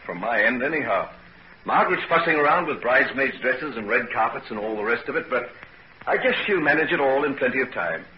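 A man acting an earnest, secretive young gentleman in a 1940s radio drama. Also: medium pitch, animated, fairly quick, lo-fi sound, natural voice. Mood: casual, guarded. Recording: noisy old 1940s radio recording.

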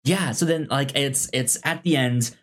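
A man co-hosting a podcast, chatting casually in a halting way, stammering and repeating words as he goes. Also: medium pitch, a bit bright. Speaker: podcast co-host discussing a film. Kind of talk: casual chat, film discussion. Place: recorded in person with co-host.

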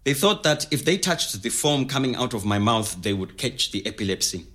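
A man's voice: mid-range voice, big pitch swings, normal speed, pushed and bright. An actor playing an earnest, reflective middle-aged Zimbabwean man recounting his childhood in an interview-style audio drama, with a Zimbabwean accent.

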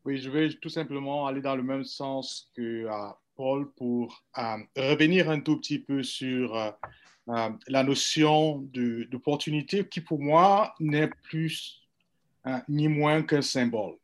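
A man from Cameroon speaking as a guest on a radio panel, formal and measured, with fillers. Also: medium pitch.

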